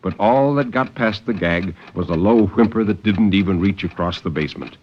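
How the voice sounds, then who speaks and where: A man giving a dramatic, deliberate and intense reading of suspense fiction in 1950s radio style. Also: low-pitched. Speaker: story narrator. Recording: muffled, noisy 1950s radio recording.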